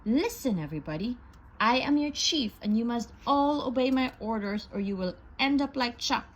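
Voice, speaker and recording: A woman's voice: on the high side, animated, moderate pace, rough recording. A woman voicing a proud, bullying male rooster who speaks fiercely and bossily in a read-aloud storybook. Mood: boastful. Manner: fierce, bossy.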